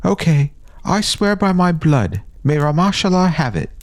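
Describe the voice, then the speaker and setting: Little tagalong boy voiced by a man, much higher pitch, earnest, stubborn, fantasy audiobook. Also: sing-song, unhurried. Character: small, eager, stubborn younger boy tagging along.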